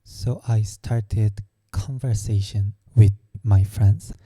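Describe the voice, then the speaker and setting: Slow, dark-voiced Korean-accented man. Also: lowish voice, steady tone, breathy edge, no echo. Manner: slow, hesitant, searching for words. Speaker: vlogger. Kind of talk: personal storytelling.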